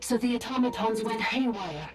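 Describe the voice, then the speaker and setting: A woman's voice: a little high, animated, normal speed, over loud music, close to an everyday voice. A woman voicing an ancient talking automaton in an English game dub, making factual statements. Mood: subdued.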